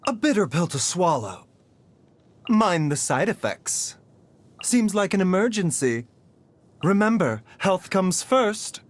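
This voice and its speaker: An actor playing a gentle, scholarly doctor in a video game, speaking in a polite, measured and softly formal manner. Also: male voice, high voice, big pitch swings, full character voice. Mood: calm, advising. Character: gentle, courteous adult male doctor, calm and scholarly. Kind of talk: scripted game voice lines. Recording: music behind the voice.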